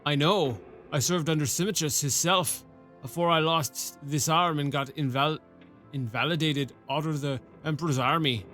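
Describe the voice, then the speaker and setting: A man voicing a gruff one-armed old army sergeant with a put-on rustic dialect, drawling slowly, in a storybook reading. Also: on the high side, sharp tone, faint music behind. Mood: approving.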